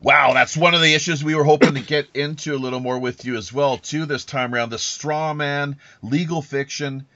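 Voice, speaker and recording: Halting man hosting, dull lo-fi sound. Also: on the high side, fairly even intonation, sharp tone. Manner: halting, rambling, wordy. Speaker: podcast host. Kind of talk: casual interview chat.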